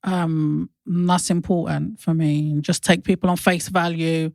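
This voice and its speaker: A woman's voice: fairly low pitch, steady tone, measured pace, vocal fry, darkish tone, no room sound. A woman, a comedian guest talking casually and bluntly on a podcast, laughing often. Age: 40s.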